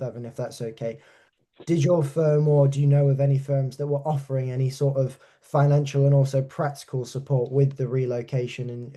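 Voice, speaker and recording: A man's voice: mid-range voice, warm tone. A man hosting a webinar, politely steering a panel with questions. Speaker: webinar host.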